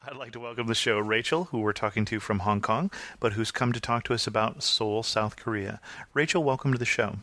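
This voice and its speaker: A man hosting a podcast, presenting and interviewing in a clear scripted-radio style. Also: lowish voice, fairly quick, sharp tone, a little hiss or hum. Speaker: podcast host.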